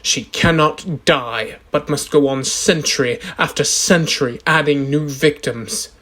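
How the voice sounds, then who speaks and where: Young man acting slow, ominous courtroom advocate. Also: a little high, sharp tone. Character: solemn male court advocate reading a logbook as evidence. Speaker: actor in a school radio drama. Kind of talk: slow dramatic reading.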